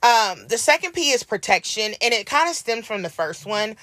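A hoarse woman co-hosting a casual podcast, talking earnestly and encouragingly with drawn-out emphasis. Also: a little high, big pitch swings, sharp tone, no echo. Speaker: podcast co-host.

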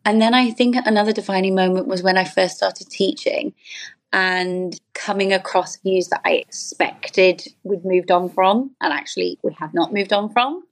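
A woman's voice: medium pitch, moderate pace. British woman chatting as an interview guest. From United Kingdom. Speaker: podcast guest, educator. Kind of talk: conversational interview.

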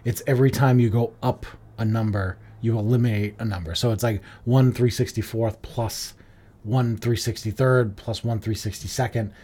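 A man's voice: mid-range voice, steady tone, moderate pace, warm tone, breathy edge. A man co-hosting a podcast in casual chat, explaining things with lots of "like" fillers. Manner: chatty, explains with many "like" fillers. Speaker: podcast co-host.

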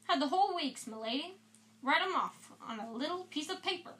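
A woman's voice: high voice, animated, moderate pace, a bit bright, voice pushed up. A woman voicing a pompous older male knight who speaks in a proud, hearty, old-fashioned way.

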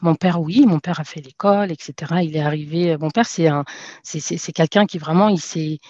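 A woman's voice: low-pitched, brisk, dark tone, no echo. Hesitant woman in her thirties, dull dry sound. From France. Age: 30s. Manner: hesitant, many fillers and restarts. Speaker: podcast guest, entrepreneur. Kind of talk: casual conversational interview.

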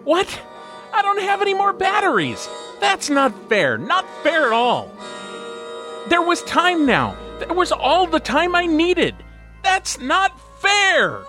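A man's voice: extremely high pitch, wildly swooping pitch, slow, over music, heavily acted. A man playing an eager, put-upon podcast host in an amateur audio drama. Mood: distraught, outraged.

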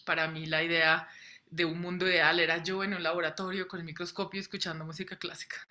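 A woman guest on a podcast, speaking by video call, earnest and often checking in with a quick question. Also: on the low side, flat delivery, sharp tone, slight background noise. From Colombia. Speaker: guest, personal branding coach. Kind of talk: interview storytelling. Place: home in Colombia.

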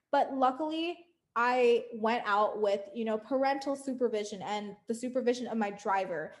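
A young American woman co-hosting a podcast, talking in an earnest, emphatic way and building up her points. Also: mid-range voice. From United States. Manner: earnest, emphatic, lists points with rising force. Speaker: podcast co-host. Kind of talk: casual podcast discussion.

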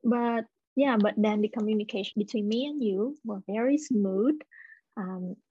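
Husky woman host on a dull, dry line. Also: medium pitch, normal speed, warm tone, no room sound. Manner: friendly, casual questions. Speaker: podcast host. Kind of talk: interviewing a guest.

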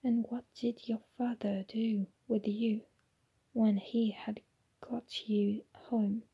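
A woman whispering the part of a calm, gentle old male headmaster wizard. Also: on the high side, breathy edge, muffled recording, heavily acted. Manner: whispered, quiet and measured.

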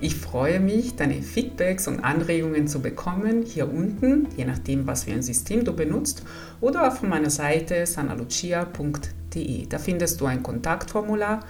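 Italian-accented woman, psychologist host, low and gentle, set back from the mic with soft music underneath. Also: sing-song, normal speed, distant mic. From Italy. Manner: gentle, encouraging, addresses listener directly. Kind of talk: calm explanatory podcast talk.

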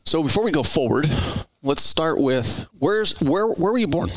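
A man's voice: fairly high pitch, very animated, moderate pace, poor audio. A middle-aged man hosting a podcast, talking earnestly in a rambling, conversational way with frequent restarts. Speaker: podcast host. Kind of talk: conversational interview.

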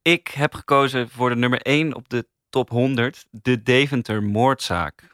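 A man's voice: medium pitch, moderate pace, fairly bright tone, clean tone, no echo, studio quality. A man with a Netherlandic Dutch accent, chatting casually as a member of a podcast panel. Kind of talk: casual chat.

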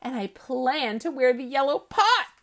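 A proper, peculiar old-fashioned goat, voiced by a woman reading aloud. He talks loudly and primly, with a lively, wide-ranging lilt. His delivery is slow and deliberate. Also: high voice, full character voice. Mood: cheerful. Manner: louder, proper and matter-of-fact. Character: odd, polite male goat who eats tin cans.

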